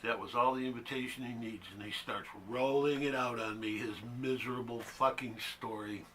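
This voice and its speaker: A man's voice: mid-range voice, fairly even intonation, normal speed, fairly bright tone, natural voice. A man playing a bitter, foul-mouthed loner delivering a sardonic, terse dramatic monologue from a one-act play. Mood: sardonic, weary. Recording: faint background noise.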